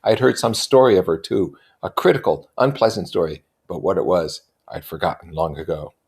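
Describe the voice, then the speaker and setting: A man reading a literary novel aloud as an expressive narrator and commentator. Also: low-pitched, brisk, creaky, husky. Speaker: reader and commentator.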